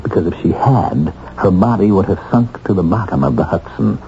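An American actor playing an earnest husband determined to clear his name in a 1970s radio drama, speaking deliberately with weighted pauses. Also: sits low, animated, warm tone. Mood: intent, suspicious. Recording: muffled, noisy 1970s radio broadcast.

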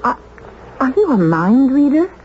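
An actress playing a timid, earnest, polite secretary in a 1970s radio drama. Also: female voice, sits high, very animated, unhurried. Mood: nervous. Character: timid, proper, lonely long-serving office secretary. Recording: muffled, noisy 1970s radio recording.

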